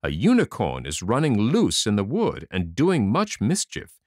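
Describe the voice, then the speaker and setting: A stern, scheming king who lays down demands in a commanding tone, voiced by a man reading a storybook. The sound is dry and close, his delivery animated. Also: lowish voice, a little slow, dry recording. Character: scheming older male king.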